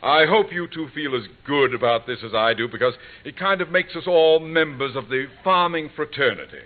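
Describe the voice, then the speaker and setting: Radio sitcom husband, played by a man: stubborn, self-important, lively swooping delivery, thin old broadcast sound. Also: fairly high pitch, a little fast, natural voice. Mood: proud. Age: middle-aged. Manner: hesitant, blustering, self-important. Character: proud, stubborn bumbling middle-aged husband and amateur gardener. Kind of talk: 1950s radio sitcom acting. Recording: tinny vintage radio broadcast recording.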